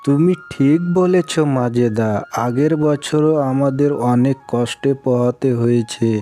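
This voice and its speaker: An actor playing a humble, worried poor village laborer in an earnest, respectful manner for a cartoon moral story. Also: male voice, medium pitch, a little slow, warm tone, close to an everyday voice. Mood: earnest, resolved. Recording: music behind the voice.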